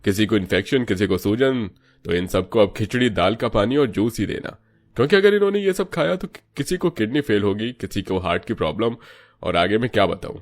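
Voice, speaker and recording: A man's voice: on the low side, expressive, fairly quick. A man voicing a blunt doctor in a cartoon story, giving warnings in an emphatic, lecturing manner. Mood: alarmed, advising. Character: male doctor, blunt, warning his patients. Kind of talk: Hindi cartoon story voice acting.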